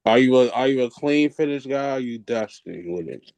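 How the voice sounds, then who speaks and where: A man co-hosting a wrestling podcast in casual chat, with a smooth, laid-back way of talking. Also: mid-range voice, expressive, brisk, a little mellow, no room sound. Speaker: podcast co-host, commentator.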